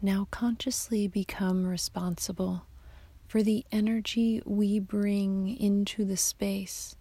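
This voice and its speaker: A woman guiding a yoga nidra meditation in a soft, hushed, half-whispered voice, giving calm, soothing instructions. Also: mid-range voice, slow pace, slightly rough, no echo. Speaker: meditation guide.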